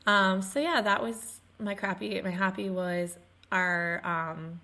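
A woman in her twenties co-hosting a podcast in casual chat, her voice still a little raspy after losing it, telling stories with laughs. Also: mid-range voice, fairly even intonation, slow pace, sharp tone, slight background noise. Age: 20s. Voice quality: slightly raspy. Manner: chatty, laughing storyteller. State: recovering from lost voice. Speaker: podcast co-host.

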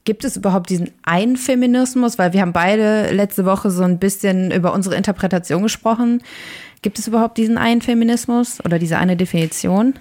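A woman's voice: mid-range voice, fairly quick, darkish tone. A young woman in her twenties co-hosting a podcast, chatty and casual with frequent fillers. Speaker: podcast co-host.

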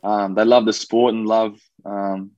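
A man's voice: lowish voice, little pitch movement, unhurried, a little mellow, no echo, highs cut off. A young man with an Australian accent, a rugby league player chatting in a laid-back, rambling way with lots of fillers. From New Zealand, raised in Australia. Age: young adult. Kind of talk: casual podcast interview.

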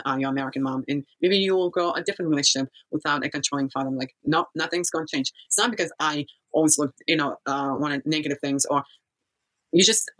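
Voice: mid-range voice, a bit bright, low-quality recording. A person from Kazakhstan telling a life story in a casual interview, rambling and often losing the thread.

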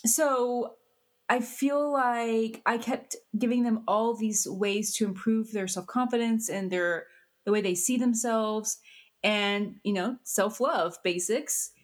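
A woman's voice: a little high, steady tone, takes it slowly. A woman therapist interviewed on a podcast, who talks with dry, self-mocking humor. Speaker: therapist, co-founder. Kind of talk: conversational interview.

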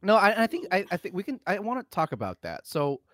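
A man's voice: sits high, huge pitch swings, quick pace, fairly bright tone. A man co-hosting a casual film podcast, talking in an emphatic, exasperated, sweary way. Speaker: podcast co-host. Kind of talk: casual opinionated film discussion.

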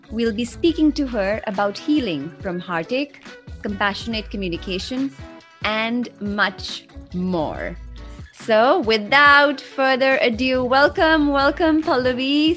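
A woman hosts a podcast with eager enthusiasm and a playful, teasing edge. Her voice sits fairly high. Faint music plays underneath. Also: lively intonation, measured pace, a bit bright. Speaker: podcast host. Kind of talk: podcast conversation.

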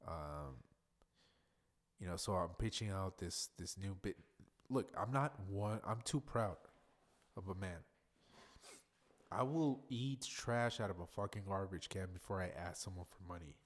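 A man's voice: sits low, a little slow, pauses often. Congested, nasal male podcast host. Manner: rambling, profane, loose and chatty. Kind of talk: casual solo podcast monologue.

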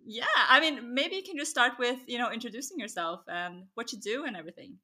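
A woman hosting a podcast, talking softly and hesitantly, with many fillers, in a casual interview. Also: a little high, expressive, brisk. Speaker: podcast host.

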